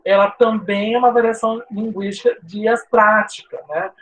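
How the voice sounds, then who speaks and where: A Portuguese teacher from São Paulo's coast, with its sibilant S, gives a chatty live online class full of tag questions and restarts. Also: female voice, mid-range voice, fairly even intonation, moderate pace, low-quality recording. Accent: Brazilian Portuguese, coastal São Paulo. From São Paulo state coast, Brazil. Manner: informal, chatty, frequent "né?" tags and restarts.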